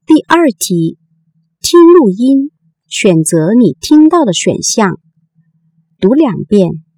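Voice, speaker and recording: A woman narrating a textbook listening exercise, reading instructions and sentences with slow, careful enunciation. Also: fairly high pitch, very animated, warm tone, husky, dry recording.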